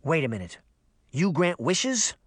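Man voicing a skeptical girl, raised pitch. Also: big pitch swings, measured pace, dry recording, studio quality. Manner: challenging, sarcastic questioning. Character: skeptical, sharp-tongued girl, questioning and practical.

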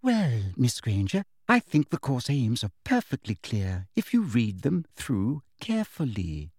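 A man reading an audiobook, voicing a falsely sweet, condescending woman teacher in a soft, raised voice. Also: a little high, sing-song, measured pace, no echo, clean studio sound, pitched above the performer's own voice, exaggerated voice. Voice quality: sweet, breathy, prissy and patronizing.

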